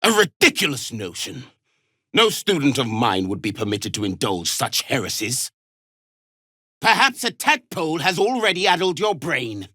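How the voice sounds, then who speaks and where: An actor playing a harsh, imperious alien warrior instructor in a video game, scornful and given to clipped commands. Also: male voice, medium pitch, big pitch swings, normal speed, pushed and bright, husky, dry recording, clean studio sound, exaggerated voice. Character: harsh, contemptuous alien warrior instructor drilling young recruits. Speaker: combat trainer. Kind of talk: video game character acting.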